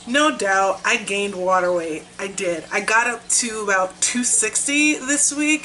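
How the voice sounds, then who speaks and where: A woman's voice: mid-range voice, sharp tone, slight background noise, low-quality recording. A young woman chatting casually in a vlog, upbeat and talkative, while she is losing her voice. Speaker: vlogger. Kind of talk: casual weight-loss vlog.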